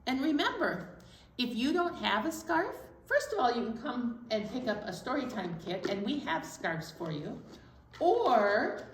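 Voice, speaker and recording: A woman's voice: on the high side, very animated, unhurried, slight background noise. A woman hosting an interactive children's storytime, chatty and playful as she gives out instructions. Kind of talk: interactive children's activity talk. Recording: echoey room, distant microphone.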